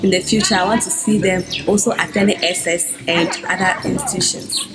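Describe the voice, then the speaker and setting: A woman speaking in a measured, heartfelt way in a documentary interview about a village school. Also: lowish voice, steady tone. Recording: documentary clip over loud music.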